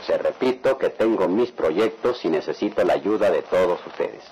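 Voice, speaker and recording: Man acting low-voiced stern father, radio drama. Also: expressive, moderate pace, darkish tone. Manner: authoritative, lecturing. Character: stern, cautious father with plans for his children. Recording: noisy old radio drama recording.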